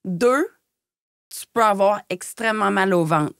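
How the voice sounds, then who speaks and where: A woman with a Quebec French accent from Shawinigan speaks frankly in a casual interview. Her voice sits at a middle pitch in a very dry recording. She uses colloquial phrasing and often searches for the right word as she tells personal stories. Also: measured pace, fairly bright tone, clean tone, dry recording. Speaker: podcast guest, former model and designer. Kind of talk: candid personal storytelling in casual chat.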